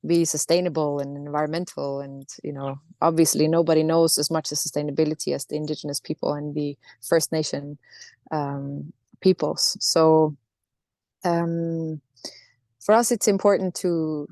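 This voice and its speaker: Soft-spoken woman, thoughtful. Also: low-pitched, steady tone, dry recording. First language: Norwegian. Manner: thoughtful, halting, with many restarts and fillers. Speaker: singer, interview guest. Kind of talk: reflective interview answers.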